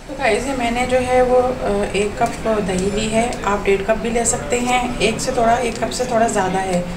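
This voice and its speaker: A woman's voice: fairly high pitch, fairly even intonation, normal speed, a crowd in the background. A woman chatting casually to her viewers while cooking at home, sprinkling in English words. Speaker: home cook showing a recipe. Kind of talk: casual cooking vlog narration. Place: home kitchen. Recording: echoey room with clear household noise.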